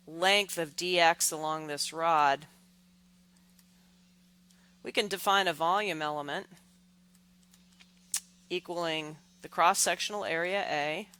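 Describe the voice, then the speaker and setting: A woman explaining as an engineering instructor, step by step, in deliberate, halting phrases with repeated words. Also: on the low side, sharp tone, clear, resonant tone.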